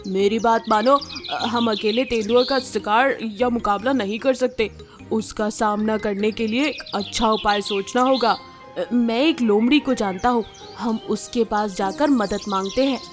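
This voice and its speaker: A woman's voice: a little high, unhurried, faint music behind, close to an everyday voice. A woman voicing a big, timid, hesitant male elephant, a reluctant elder brother in a children's story. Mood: cautious. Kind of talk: children's animal story voice acting.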